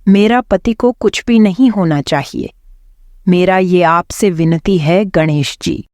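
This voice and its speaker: A worried young wife played by a woman pleads in an animated horror tale. Her voice is on the low side and recorded very dry. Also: slow, no echo. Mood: pleading. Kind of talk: animated horror story voice acting.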